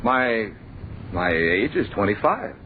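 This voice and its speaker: An actor playing a bewildered, frightened young man who tells his nightmare in halting, intense old-time radio narration, repeating words in disbelief. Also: fairly low pitch, very animated, slight background noise. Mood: disbelieving. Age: young adult. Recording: narrow, muffled old radio broadcast.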